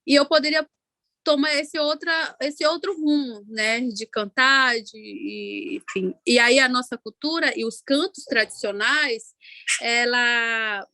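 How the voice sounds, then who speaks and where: Ticuna-woman singer, unhurried panel talk. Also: fairly high pitch, bright, forceful tone, no echo. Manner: unhurried, many "né" tags and restarts. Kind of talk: panel talk, personal account.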